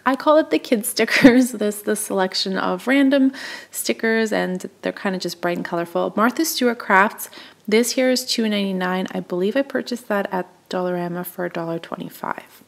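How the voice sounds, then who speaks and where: The woman you would hear narrating a casual shop-with-me video in a store aisle, chatty and keen, her voice crackling with fry. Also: medium pitch, normal speed. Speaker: craft shopping vlogger.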